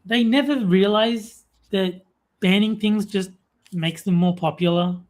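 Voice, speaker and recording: A woman's voice: mid-range voice, unhurried. An Australian woman co-hosting a casual talk stream, chatty and full of fillers, reading viewer comments aloud. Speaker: podcast co-host reading chat. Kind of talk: casual chat.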